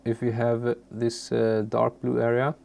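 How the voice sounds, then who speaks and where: Halting, very gravelly man teaching software. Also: mid-range voice, completely flat delivery. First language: not English. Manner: halting, searching for words. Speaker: tutorial presenter. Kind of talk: unscripted software tutorial.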